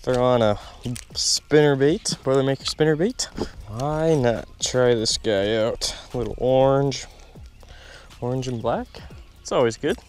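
A man's voice: medium pitch, measured pace, faint music behind. A young man fishing alone from a small boat on a lake, chatting to the camera in a running commentary. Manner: muttering to himself, then excited and laughing. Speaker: fishing vlogger. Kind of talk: solo outdoor fishing commentary. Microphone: camera mic on the boat, wind and water around.

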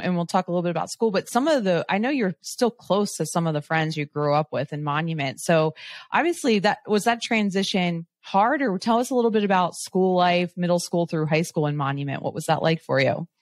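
A woman hosts a business interview, asking curious, loosely phrased questions that circle back on themselves. Her voice sits slightly low. The recording is dry, with no room echo. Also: expressive, fairly quick. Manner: curious, rambling questions with restarts. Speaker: podcast host. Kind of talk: conversational interview.

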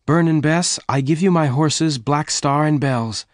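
A man voicing an earnest, warm-hearted, excitable woman in a western storybook, with emphatic delivery. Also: measured pace, no echo, studio quality, natural voice. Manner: emphatic, exclaiming, raised pitch. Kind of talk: dramatised audiobook dialogue.

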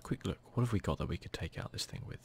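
A man giving soft, near-whispered ASMR commentary as he hosts a gaming video, in a casual, muttering, self-deprecating way. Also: sits low, animated, fast talker, gravelly, slight background noise.

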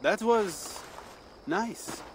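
An actor playing a flirtatious, pleasure-loving Frankish man in a video game, coy and halting. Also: sits high, lively intonation, slight background noise, full character voice. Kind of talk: dramatic video game acting. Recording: music behind the voice.

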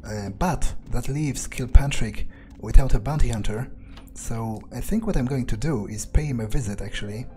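A man's voice: mid-range voice, normal speed, few pauses, warm tone. A man improvising in character while playing a game, thinking aloud in a hesitant, casually profane, rambling way. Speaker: let's player.

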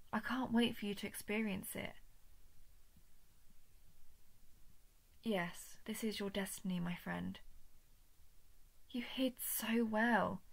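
A woman voicing a coldly menacing, falsely gentle alien parasite in an intimate horror audio roleplay, condescending, often slipping toward a breathy whisper. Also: medium pitch. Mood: eerie coaxing. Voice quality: creaky, breathy with frequent whispering. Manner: measured, condescending, menacing coaxing.

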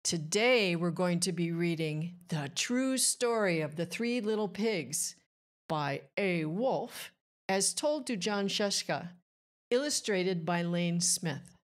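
A woman's voice: fairly low pitch, slow. A woman in her sixties presenting an upbeat picture book read-aloud, speaking directly to children into a close studio microphone. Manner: animated, addressing children directly. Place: small indoor room with bookshelves. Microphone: close boom-arm studio microphone.

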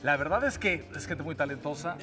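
Conductor de voz alta y entusiasta. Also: male voice, on the high side, animated, a little fast. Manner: enthusiastic, encouraging interjections. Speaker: TV show co-host. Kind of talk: TV entertainment chat. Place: TV studio. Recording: music bed under studio talk.

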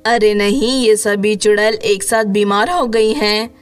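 A woman's voice: mid-range voice, steady tone, measured pace, soft background music, lightly acted. A woman voicing a kind, earnest young female witch in a cartoon story. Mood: worried.

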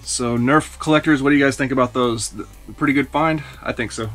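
Man, 40s, toy reseller vlog, relaxed rambling, bright tone, small toy room. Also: mid-range voice, brisk, faint music behind. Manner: relaxed, rambling with many "uh" fillers. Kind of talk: casual haul video. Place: small indoor toy room lined with shelves.

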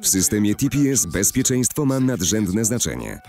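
A man reading a scripted corporate narration in a measured, formal and articulate manner, recorded in a studio. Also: on the low side, big pitch swings, darkish tone. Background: faint music.

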